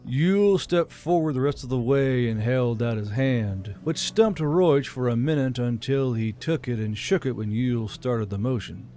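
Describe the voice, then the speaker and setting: A man's voice: medium pitch, normal speed, hardly any pauses, dark tone, soft background music. A man reading scripted fantasy fiction as an audiobook narrator.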